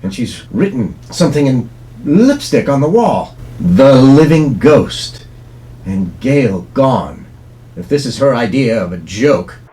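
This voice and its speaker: An actor playing an earnest, alarmed young pulp hero in exclamatory radio melodrama style. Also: male voice, medium pitch, sing-song, takes it slowly, dark tone. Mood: shocked, alarmed. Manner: exclamatory, theatrical.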